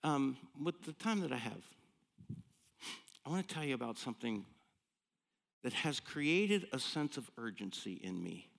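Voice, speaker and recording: A man's voice: mid-range voice, measured pace, creaky, pauses often. A man of fifty-nine with an American accent, preaching in a conversational, folksy, humorous storytelling way with rhetorical questions. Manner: animated, folksy, self-deprecating, punctuated with rhetorical questions.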